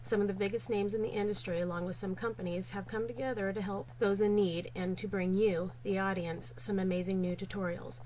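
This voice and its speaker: A woman hosting a card-making tutorial, hoarse from a cold, talking in a chatty way with unsure asides. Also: mid-range voice, fairly even intonation, fairly quick, few pauses, mellow, slight background noise, poor audio. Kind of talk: casual craft tutorial narration.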